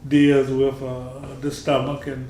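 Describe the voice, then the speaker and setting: A man's voice: mid-range voice, completely flat delivery, a little slow, warm tone. A man hosting a talk show, chatting loosely with fillers and restarts as he introduces and questions his guest. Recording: echoey room, distant mic, faint noise.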